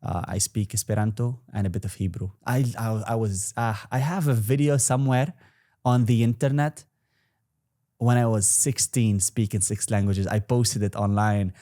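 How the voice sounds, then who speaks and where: A man's voice: on the low side, steady tone, moderate pace, warm tone. A young man in his twenties whose first language is Arabic, talking casually as an interview guest, rambling with restarts and fillers. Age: 20s. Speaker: guest entrepreneur.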